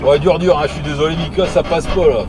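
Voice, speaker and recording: A man with a fairly high voice, chatting as a CB radio operator. He rambles in colloquial phrases and repeats himself. Clear vehicle noise surrounds him. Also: steady tone, fast talker. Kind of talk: casual CB radio chat.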